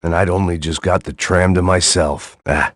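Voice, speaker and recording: A burnt-out ex-cop in a game, very deep husky voice, sardonic noir narration, dead-dry studio sound. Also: male voice, steady tone, moderate pace, dry recording. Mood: world-weary. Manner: dry, sardonic, world-weary. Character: weary cynical middle-aged male ex-cop.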